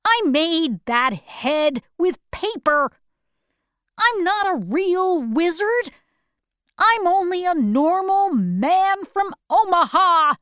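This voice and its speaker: A woman voicing a timid old man who poses as a wizard, pleading and apologetic, read slowly in a storybook. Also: very high voice, big pitch swings, fairly bright tone, dry recording, clean studio sound, pitched above the performer's own voice, exaggerated voice. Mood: explaining. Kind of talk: slow storybook reading.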